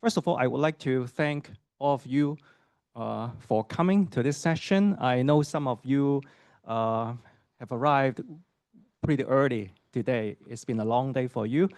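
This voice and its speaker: A man's voice: medium pitch, unhurried, a little mellow, clean tone, no echo. A middle-aged man from Hong Kong, an associate professor giving a university admissions talk to students and parents. He speaks conversationally, puts questions to the audience and keeps adding fillers and "right?" tags.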